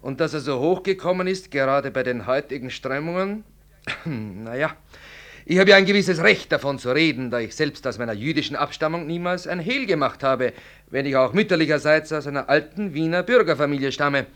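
A man's voice: fairly high pitch, expressive, a little fast, fairly bright tone. An actor in a radio drama, playing an outwardly amiable male doctor who talks politely, with ingratiating insinuation. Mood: defensive, insinuating.

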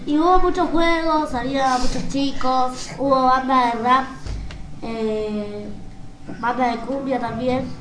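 A woman's voice: high voice, steady tone, takes it slowly. A woman with an Argentine accent chatting casually on radio, hesitant and searching for words. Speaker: radio co-host. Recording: roomy, noisy recording.

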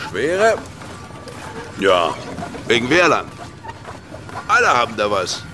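A man's voice: mid-range voice, huge pitch swings, takes it slowly, over music. An actor playing a worldly, mocking baron in a radio drama, savouring his words with theatrical exclamations. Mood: musing, intense.

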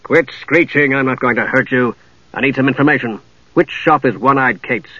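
A man voicing a proud, impatient knight with a curt, gruff manner in a fantasy audiobook. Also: medium pitch, moderate pace, pushed and bright, dry recording, highs cut off. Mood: threatening.

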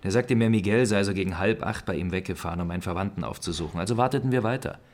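A defiant, cynical ex-convict in a radio crime drama. His voice is very low and crackles with gravelly creak. He explains himself tersely, like someone under suspicion. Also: rapid-fire. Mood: guarded confession.

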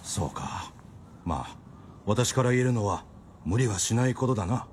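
Actor as low-voiced, composed doctor. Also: male voice, animated, moderate pace, darkish tone, slight background noise, close to an everyday voice. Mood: measured advice. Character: composed male doctor, paternal and drily familiar. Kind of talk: radio drama acting.

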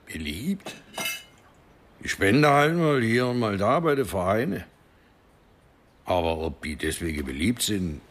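An old village widower with a low voice. He speaks in short, plain, gruff sentences in a radio play, with faint noise and clattering dishes around him. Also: lively intonation, unhurried, pauses often. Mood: dismissive. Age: older. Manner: terse, plain-spoken. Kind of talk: radio drama dialogue.

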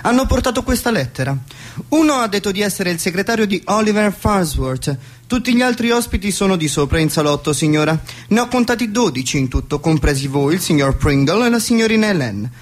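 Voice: mid-range voice, expressive, natural voice. A performer playing a dutiful male butler in a 1920s radio comedy, formal and deferential in manner. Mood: formal, reporting. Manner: formal, deferential, measured announcements. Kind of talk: radio play acting of a 1920s stage comedy.

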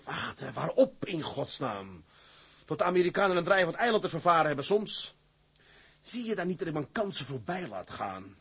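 Hot-headed male skipper for radio drama: fairly high, slightly rough voice, proud, impatient, blustering. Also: exaggerated voice. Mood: frustrated. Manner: blustering, cursing, emphatic.

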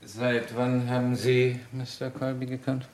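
A man playing a calm, authoritative police inspector in a German radio crime drama, with measured, courteous and commanding questioning. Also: medium pitch, natural voice. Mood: businesslike.

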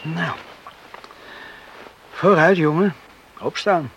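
An actor playing an eager, earnest young farmer in a radio drama. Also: male voice, fairly high pitch, very slow, vocal fry, dull audio, heavily acted. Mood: eager, coaxing. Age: young adult. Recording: hissy old radio recording.

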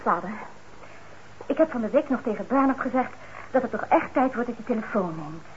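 An actress playing a fretful, bossy but caring middle-aged daughter in a radio play, nagging briskly. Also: female voice, a little high, expressive, darkish tone, close to an everyday voice. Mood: worried. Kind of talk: Dutch radio play acting. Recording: dull, noisy old radio recording.

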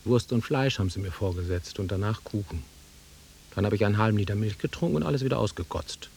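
An actor playing a volatile, nostalgic country-bred man in a German radio drama, brooding and taunting, with a rough husky voice that swings from muttering to outbursts. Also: on the low side, quick pace, a little mellow, slight background noise.

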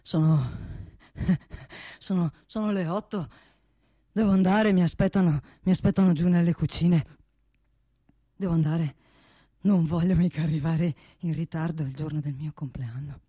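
A woman's voice: low-pitched, fairly even intonation, normal speed, long pauses, dark tone, breathy edge, no room sound, studio quality. A woman playing an ageing, wealthy male boss in a comic radio monologue, self-satisfied and domineering, rambling and repeating with little questioning tags. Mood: hurried. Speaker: actor in a comic radio play.

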